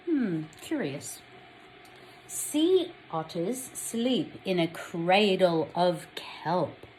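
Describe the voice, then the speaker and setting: An Australian woman reading a picture book aloud to young children, expressively, pausing to put questions to them. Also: medium pitch, slight background noise, rough recording. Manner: addresses young children directly, expressive storytelling.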